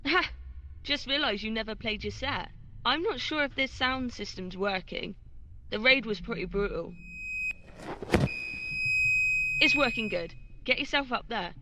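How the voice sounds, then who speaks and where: A woman's voice: on the high side, moderate pace, natural voice. A young woman playing a streetwise, supportive club MC in an audio drama. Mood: teasing. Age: young adult. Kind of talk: dystopian audio drama acting. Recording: dull, noisy home recording.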